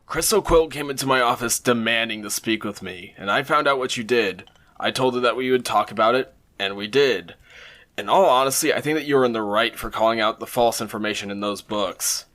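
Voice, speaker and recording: Casting: man to play earnest, supportive pony dad for cartoon audio drama; mid register, crisp forceful edge. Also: medium pitch, a little fast, close to an everyday voice. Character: earnest, supportive pony father of royal family.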